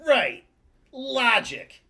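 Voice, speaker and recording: A man plays a smug sitcom antagonist with a creaky, gravelly edge to his voice. He taunts and mocks with sardonic confidence, as in a comedy table read. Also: very high voice, very animated, extremely slow, sharp tone, full character voice. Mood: smug taunting. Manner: mocking, sardonic.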